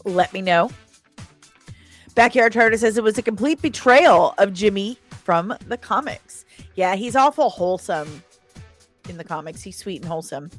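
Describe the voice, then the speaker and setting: A woman hosting a casual livestream, chatting and reading out comments in a playful, expressive, sing-song way. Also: medium pitch, moderate pace. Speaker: live stream host reviewing comics and screen news. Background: faint background music.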